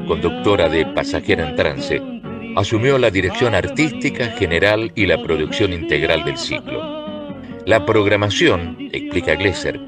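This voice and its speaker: A man reading scripted documentary narration. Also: low-pitched.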